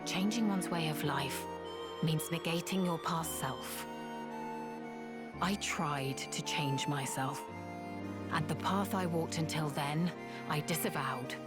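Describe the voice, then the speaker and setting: A woman's voice: low voice, slow pace, long pauses. A woman playing a proud, bitter young retainer in a video game drama, speaking in a guarded, formal way. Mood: grave, wistful. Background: loud orchestral strings music.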